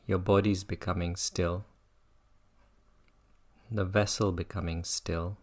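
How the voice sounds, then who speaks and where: A meditation teacher gently guiding listeners through a practice in an unhurried way. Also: sits low, level tone. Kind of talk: guided meditation talk.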